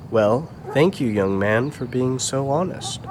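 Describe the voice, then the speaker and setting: A man voices a weary, good-hearted old carpenter in a puppet play. He speaks gently and gratefully at a middling pitch, over slight background noise. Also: very animated, measured pace. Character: kindly, weary older widower carpenter. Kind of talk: church puppet show dialogue.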